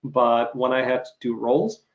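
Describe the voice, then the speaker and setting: Male interviewer, mid-range with vocal fry, conversational, musing, halting delivery. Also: mid-range voice.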